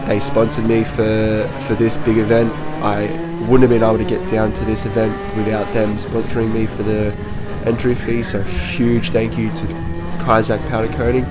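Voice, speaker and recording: A man with a slightly hoarse, worn voice casually talks through footage of his kart race, rambling with lots of ums and restarts. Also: on the low side. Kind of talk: casual commentary over race video.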